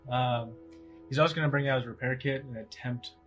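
A man's voice: mid-range voice, fairly even intonation, a little fast, dull audio. A man playing a tabletop game, casually narrating his character's actions in the third person. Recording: prominent music under the voice. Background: background music.

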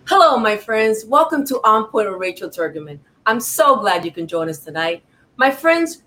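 A woman's voice: a little high, fairly bright tone. A woman hosting a talk show, introducing her guest with gushing, repetitive praise.

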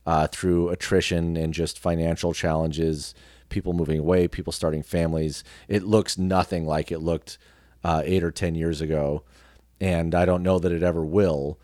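A man speaking as an interview guest in a casual, reflective way, with measured and halting phrasing. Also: deep voice, level tone, warm tone, no echo, clean studio sound. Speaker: podcast guest, radio personality and actor.

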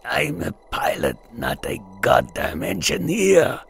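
A man's voice: medium pitch, huge pitch swings, slow, creaky, fairly bright tone, heavily acted. A man voicing a trapped, delirious male pilot who hisses and mumbles through pain.

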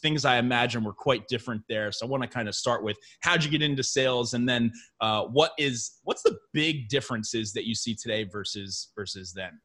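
An eager young man hosting an interview podcast, his talk loose with fillers and false starts. His voice sits at an ordinary middle pitch. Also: fairly quick, hardly any pauses, sharp tone. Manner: eager, filler-heavy, restarts often. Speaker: podcast host. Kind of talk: conversational interview with sponsor read.

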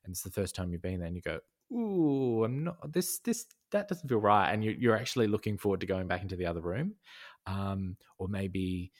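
Deep-voiced guy chatting on a podcast, slow and thoughtful, lots of restarts. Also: lively intonation. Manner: thoughtful, many fillers and restarts. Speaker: podcast guest, design educator. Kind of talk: casual conversation.

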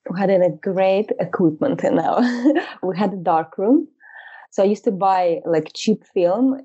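Mellow woman, hesitant. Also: lowish voice, breathy edge, muffled recording. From Moscow, Russia. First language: Russian. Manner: hesitant, many fillers and restarts, light laughs. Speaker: guest, fashion photographer. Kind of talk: casual interview chat.

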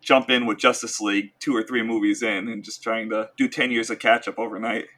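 A man co-hosting a podcast in casual chat, halting and repeating words as he thinks aloud. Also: fairly low pitch, level tone, sharp tone. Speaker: podcast co-host. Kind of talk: casual banter and film discussion.

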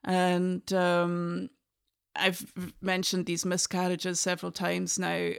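A Scottish woman in her forties talks things through carefully, her voice smooth and resonant with a gravelly edge. She often starts a sentence over as she searches for the right words. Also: on the low side, monotone, slow. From Scotland. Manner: thoughtful, with frequent restarts. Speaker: guest musician. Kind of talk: reflective conversation.

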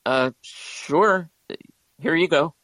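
An actor playing a shy, imaginative high school boy, hesitant and earnest, in an audio drama. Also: extremely slow, a bit bright, no echo, low-quality recording. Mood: flustered.

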